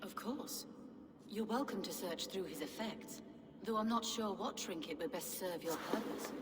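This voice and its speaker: A woman acts a devoted medieval sister who tends the sick. She sounds courteous, measured and faintly eerie, mid-pitched, in a long reverb. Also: noisy background, close to an everyday voice. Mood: guarded, regretful. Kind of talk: dramatic video game acting.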